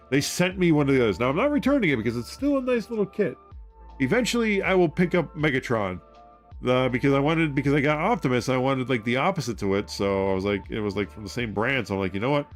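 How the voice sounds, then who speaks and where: A man hosting a casual livestream, rambling excitedly and loudly, muttering part numbers as he builds. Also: mid-range voice. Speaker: livestream host building model kits.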